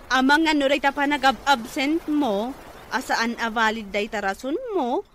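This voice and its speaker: High-pitched nagging young woman, played by an actress. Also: steady tone, a little slow, a bit bright, a little hiss or hum. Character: nagging younger woman scolding a jobless older man. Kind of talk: Ilocano radio comedy drama acting.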